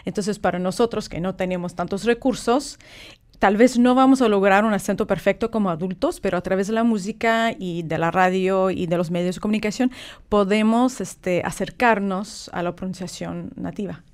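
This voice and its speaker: A woman from California who grew up speaking English gives measured, explanatory answers as a guest on a morning radio show. Her voice sits at an ordinary middle pitch, and she pauses on filler words as she builds her points. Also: a little mellow. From California, United States. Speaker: guest, polyglot author. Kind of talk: radio interview.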